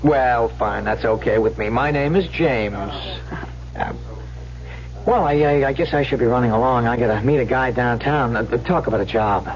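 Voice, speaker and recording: Actor as ingratiating young male hustler, vintage radio drama; mid-range voice, halting sincerity, dull old recording. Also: mid-range voice, close to an everyday voice. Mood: bashful, friendly. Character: smooth-talking young male small-time swindler, feigning boyish shyness. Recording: muffled old radio recording, faint hum.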